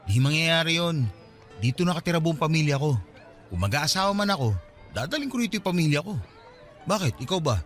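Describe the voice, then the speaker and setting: An easygoing young man chats in a radio drama. His voice runs a little high and moves quickly, with very lively intonation. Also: soft background music. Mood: earnest, easygoing. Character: easygoing man of twenty-seven, settled, loyal hometown friend. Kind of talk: Tagalog radio drama dialogue.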